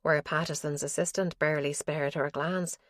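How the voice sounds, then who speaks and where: A woman reading a thriller audiobook in a low, measured voice. The sound is very dry and close, as if from a studio booth. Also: steady tone, no room sound. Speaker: audiobook narrator. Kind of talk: scripted narration.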